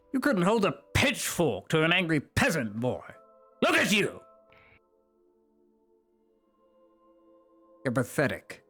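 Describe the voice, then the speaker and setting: A man playing a cold, zealous imperial enforcer in a live roleplay, scornful and commanding, dropping to whispers and rising to shouts. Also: on the high side, heavily acted. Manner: swings between soft whispers and sudden shouts. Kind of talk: live roleplay in a virtual world.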